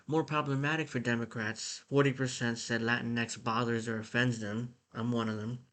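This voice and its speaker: Irritated guy ranting about an article, mid pitch, lots of restarts. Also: a bit bright. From United States. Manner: blunt, irritated, with frequent restarts. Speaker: political commentator. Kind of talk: casual commentary reading an article.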